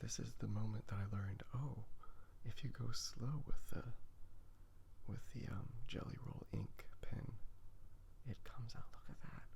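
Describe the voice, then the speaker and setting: A man speaks in a hushed, half-whispered ASMR style, low in pitch and heavily creaky. He rambles casually and without hurry, musing aloud in a self-deprecating way as he draws. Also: steady tone, a little slow. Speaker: host of a drawing ASMR video. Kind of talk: ASMR soft talking while drawing, casual rambling. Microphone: phone recording.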